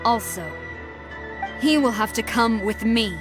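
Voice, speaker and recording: Actress as a stern female general, fairly high voice, formal, commanding, proud, anime game, music behind. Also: measured pace, lightly acted. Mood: indignant. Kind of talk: anime video game voice acting.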